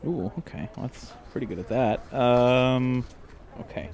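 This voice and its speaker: A man improvising casually as a role-playing game player, rambling and halting as he talks. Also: mid-range voice, a little mellow. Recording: faint background noise.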